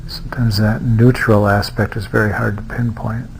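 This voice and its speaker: A man leading a guided meditation as a teacher, speaking in an unhurried way with long pauses and hesitant restarts. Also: fairly low pitch, flat delivery, vocal fry, a little hiss or hum, poor audio. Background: music.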